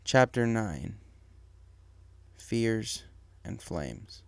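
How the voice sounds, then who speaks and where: A man's voice: lowish voice, lively intonation, drawn-out words, gravelly, smooth, dry recording. A man giving scripted narration of a dramatic story as its narrator.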